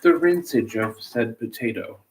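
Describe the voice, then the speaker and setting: A man's voice: mid-range voice, expressive, measured pace, background noise. A man hosting a cooking livestream, rambling casually with playful, silly asides. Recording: echoey room.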